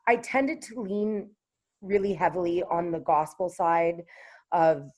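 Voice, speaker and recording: A woman with a low, contralto-leaning speaking voice talks casually as a guest on a radio interview. She pauses often, circles back with fillers and restarts, and speaks in a lowish register. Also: darkish tone, lo-fi sound. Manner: hesitant, with many fillers and restarts. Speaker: singer-songwriter guest.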